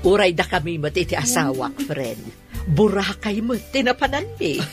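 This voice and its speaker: A woman's voice: lowish voice, lively intonation, normal speed, close to an everyday voice. An actress playing a jolly, teasing married woman in an Ilocano comedy radio drama, with music behind. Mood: amused.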